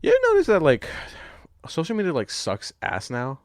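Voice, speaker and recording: A man's voice: on the high side, huge pitch swings, brisk, dry recording. A man co-hosting a podcast in casual, profane, stop-start banter, given to comic ranting and frequent chuckling. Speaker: podcast co-host.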